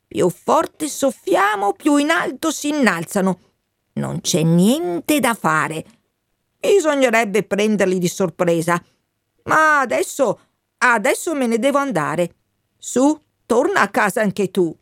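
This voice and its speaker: A woman's voice: a little high, sing-song, takes it slowly, gravelly, dry recording, clean studio sound, pitched above the performer's own voice, exaggerated voice. A woman voicing the wind as a once-fierce male spirit that speaks to a child in a lilting, raised voice. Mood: boastful. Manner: soothing, then hissing and boastful.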